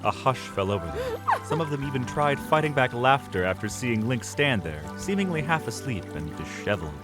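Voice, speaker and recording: A man's voice: medium pitch, very animated, fairly quick, over loud music. A man narrating an audio drama in a dramatic, scripted storytelling style.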